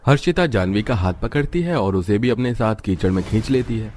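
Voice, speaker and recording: A man's voice: on the low side, animated, fairly quick, a little mellow, slight background noise. A man reading scripted narration for a moral story as its narrator.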